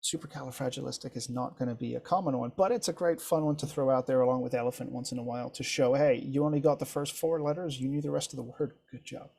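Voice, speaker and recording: A man whose first language is French, leading an instructor training session in a relaxed, encouraging way over a video call. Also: medium pitch, fast, vocal fry, hardly any pauses, slightly rough. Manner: relaxed, encouraging, thinks aloud with ums. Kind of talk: casual group video-call discussion.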